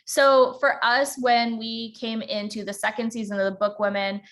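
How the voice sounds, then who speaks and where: A woman with a slight central Alberta accent, a podcast host giving an informal teaching talk, talking fast with many ums. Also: on the high side, level tone, a bit bright.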